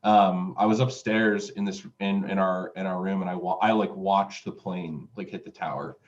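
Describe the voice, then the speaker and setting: A man's voice: low-pitched, steady tone, normal speed. A man in his twenties from Utah, a music producer telling his story in an interview, rambling with lots of fillers and restarts. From Utah, USA. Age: 20s. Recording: dull, lo-fi sound.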